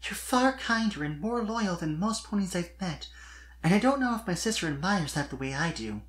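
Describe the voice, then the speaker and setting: A performer voicing a sweet, shy but earnest young female pony in a fan fiction reading, soft-spoken and tentative. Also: mid-range voice, sing-song, moderate pace, voice pushed up, natural voice. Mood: shy, confiding.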